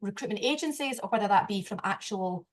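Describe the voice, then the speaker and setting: Woman, interview host, average pitch, conversational, agreeable, discursive. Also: expressive, brisk. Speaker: interviewer and recruiter.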